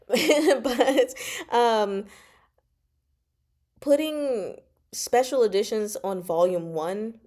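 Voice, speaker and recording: A woman's voice: on the low side, a little mellow. A woman hosting a casual book discussion stream, rambling and playful as she thinks aloud and answers viewers. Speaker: host of a book discussion livestream.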